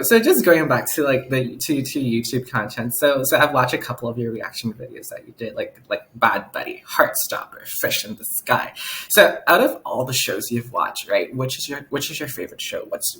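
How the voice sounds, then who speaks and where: A man's voice: medium pitch, lively intonation, normal speed, a bit bright, rough recording. Guy hosting a chill podcast, giggly and joking, voice bouncing all over. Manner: giggly, playful, self-mocking. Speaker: podcast host. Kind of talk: casual chat interview.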